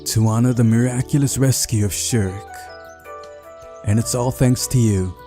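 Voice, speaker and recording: A man voicing a brisk, bossy, sly female camp leader in a visual novel, in a mellow voice. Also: medium pitch, soft background music, natural voice.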